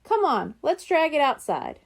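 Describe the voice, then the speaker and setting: A woman's voice: high-pitched, very animated, measured pace, creaky, voice pushed up, exaggerated voice. A woman voicing a frustrated, determined young boy who leads his friends in a children's storybook, speaking in an emphatic, bossy way.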